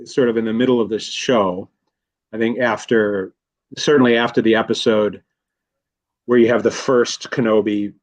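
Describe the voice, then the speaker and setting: A man's voice: on the low side, steady tone, moderate pace. A man talking about films in a casual podcast discussion, rambling, with many restarts and fillers. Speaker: guest commentator. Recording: slightly dull lo-fi audio.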